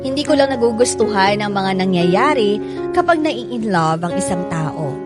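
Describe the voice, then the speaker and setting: Loud piano music surrounds an actress as a young Filipino woman soured on love. She delivers a deliberate, bitter monologue with animated pitch. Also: close to an everyday voice. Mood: bitter, brooding. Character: young woman disillusioned with love, narrating her thoughts. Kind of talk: dramatic monologue intro.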